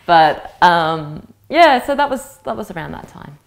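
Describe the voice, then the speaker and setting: A woman in her thirties whose first language is Vietnamese, giving a thoughtful interview answer and often pausing to search for words. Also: fairly low pitch, very animated, gravelly. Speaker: interviewee, actress. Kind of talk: reflective personal interview talk.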